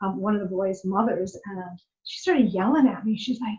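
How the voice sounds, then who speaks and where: A woman's voice: medium pitch, normal speed, darkish tone. Woman guest talking it through, lots of like and you know, supportive, dull muffled sound. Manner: reflective and affirming, full of "like" and "you know". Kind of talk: personal conversation. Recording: muffled lo-fi recording.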